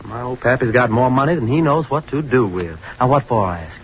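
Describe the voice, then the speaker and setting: An actor playing a snobbish, sarcastic young fraternity president in an old-time radio drama, with a dismissive, slangy drawl. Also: male voice, mid-range voice, animated, a little fast, lightly acted. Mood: smug, boastful. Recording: muffled, noisy old radio recording.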